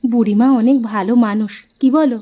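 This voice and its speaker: A woman voicing a gentle, weary young village wife in a cartoon. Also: a little high, a little fast, highs cut off, natural voice. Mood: relieved.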